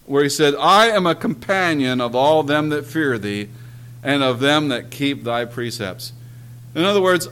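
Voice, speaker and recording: A man preaching a sermon and telling his own story, earnest and emphatic, often clearing his throat and pausing on "uh". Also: fairly high pitch, fairly bright tone. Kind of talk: sermon with personal testimony.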